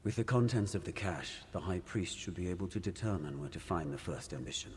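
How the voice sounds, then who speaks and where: An actor playing a grim, plotting cultist in a video game, with a formal, grave delivery. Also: male voice, low voice, expressive, fairly quick, a little mellow, big-room sound, slight background noise.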